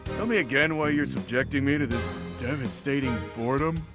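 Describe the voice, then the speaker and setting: An actor voicing a brash, laid-back brawler in a video game, breezy, cocky and slangy. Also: male voice, mid-range voice, expressive, brisk, over loud music, close to an everyday voice. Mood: bored, grumbling.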